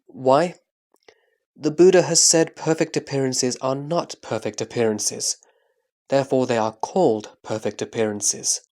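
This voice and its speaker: A man playing a wise, reverent elder disciple who speaks in a respectful, measured way in a scriptural audio drama. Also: medium pitch, a little mellow, lightly acted.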